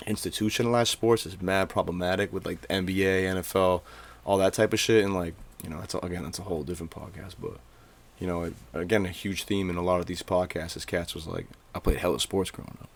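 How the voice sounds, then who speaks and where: A man's voice: deep voice, steady tone, quick pace. A man in his twenties from Maine, hosting a podcast in loose, slangy casual chat. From Maine, USA. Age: 20s. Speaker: podcast host.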